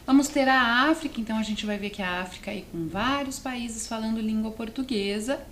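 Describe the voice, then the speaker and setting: A woman's voice: a little high, brisk, fairly bright tone. A woman with a southern Brazilian accent teaching a class to students, explaining step by step and often asking questions. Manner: explanatory, often asks questions and adds checking tags. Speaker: language teacher presenting a televised class. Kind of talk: didactic lesson addressed to students. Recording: roomy echo, faint background noise.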